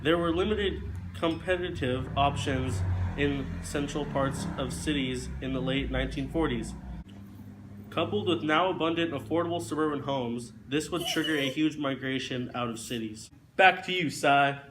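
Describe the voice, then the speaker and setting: A young man reads a scripted news report. His voice sits a little high, and there is faint noise in the recording. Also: normal speed. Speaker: guest news correspondent.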